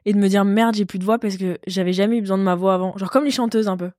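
A woman's voice: mid-range voice, steady tone, quick pace, a little mellow, no echo, clean studio sound. A woman in her twenties co-hosting a podcast, chatting playfully while losing her voice from a cold. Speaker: podcast co-host. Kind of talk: casual chat.

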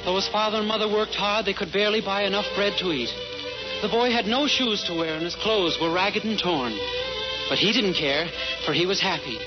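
A man narrating a fairy tale in a gentle storytelling manner, in the style of 1940s radio. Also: sits high, a little fast. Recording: dull 1940s radio broadcast. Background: music.

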